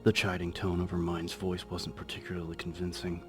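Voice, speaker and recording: A man with a very low voice narrates a horror story. His delivery is measured and suspenseful, held extremely flat in pitch, over a faint bed of music. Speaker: audiobook narrator. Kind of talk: dramatic scripted horror narration.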